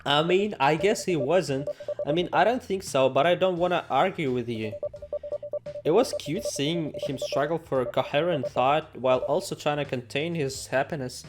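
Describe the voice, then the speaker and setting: A man's voice: on the high side, normal speed. A man hosting a let's play, reading game text aloud in a playful, theatrical way with joking asides. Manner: playful, theatrical, exclaims and jokes to himself. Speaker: gaming video host. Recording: music behind the voice. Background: game music.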